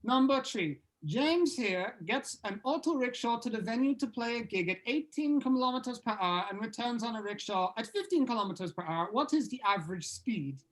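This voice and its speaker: A performer in a comedy table read playing a pompous, grandiose quizmaster of a heavy metal band, with deadpan formality. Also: mid-range voice, moderate pace, hardly any pauses, natural voice, rough recording. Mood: officious.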